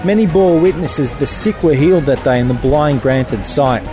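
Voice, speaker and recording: A man's voice: a little high, animated, moderate pace. A man reading his own satirical column in a wry, mock-solemn way. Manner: wry, mock-biblical delivery. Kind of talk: satirical scripted narration. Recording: music bed under the voice.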